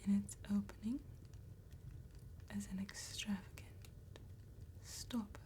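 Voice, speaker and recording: A woman playing an elegant, calm vampire tattoo artist in a soft-spoken, hushed and deliberate ASMR roleplay. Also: medium pitch, natural voice. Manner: hushed, unhurried, deliberate phrasing.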